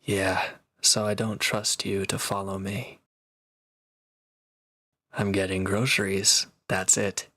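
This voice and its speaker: Guy voicing a cocky reformed villain for audio roleplay, crisp bright edge, really dry close sound, teasing you one on one. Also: fairly low pitch, no echo, full character voice. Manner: cocky, teasing, talking directly to one listener. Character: proud, teasing reformed male villain, a battle-hardened swordsman. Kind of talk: scripted audio roleplay, intimate one-sided dialogue.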